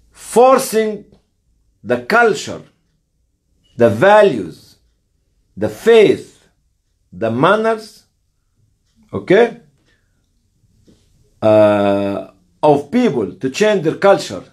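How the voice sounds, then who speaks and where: A man in his sixties giving an explanatory lecture in emphatic, halting phrases, often posing rhetorical questions. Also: high voice, darkish tone. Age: 60s. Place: small office, indoors. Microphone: camera or phone on the desk, a short distance away.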